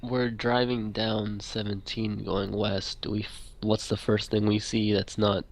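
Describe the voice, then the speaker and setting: Low, even-toned man in a game. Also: measured pace, poor audio. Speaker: roleplaying game player. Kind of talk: casual chat.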